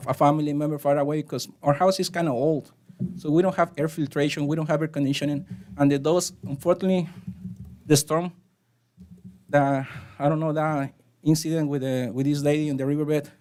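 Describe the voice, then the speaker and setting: A man with a Spanish accent, a native Spanish speaker, speaking earnestly and haltingly during public comment at a city council meeting. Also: on the high side, darkish tone. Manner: earnest, halting, with frequent restarts. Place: council chamber.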